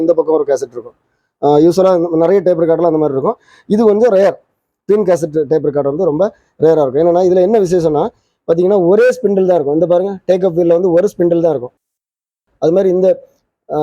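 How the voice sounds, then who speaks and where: Guy doing a quick casual gadget demo, high-pitched, dull dry recording. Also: dark tone, dry recording. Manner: quick, pointing out parts as he goes. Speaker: channel host demonstrating vintage tape recorders.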